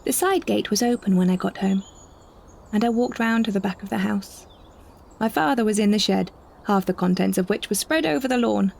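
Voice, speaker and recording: A woman narrating a scripted first-person story in a measured, reflective manner. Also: mid-range voice, creaky, a little mellow. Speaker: solo performer narrating a story.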